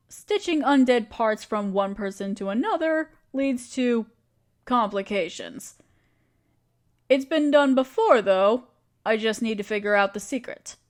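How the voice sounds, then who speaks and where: An earnest female necromancer with a grand plan, acted by a woman for a roleplay audio. Her voice sits a little high and moves with very animated intonation. She talks straight to the listener, conversational and explanatory, with a caring, reassuring manner. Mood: attentive, clinical.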